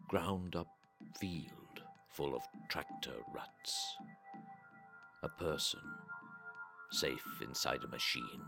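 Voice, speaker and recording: A man reading a literary story aloud in a solemn, deliberate way, breaking phrases with long pauses. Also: low voice. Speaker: narrator reading a translated story.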